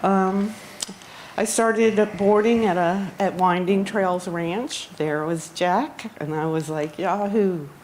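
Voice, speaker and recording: A woman giving a memorial tribute at a gathering, speaking haltingly with frequent pauses and ums. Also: mid-range voice, a little mellow, slight background noise. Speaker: eulogy speaker. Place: outdoor barn gathering.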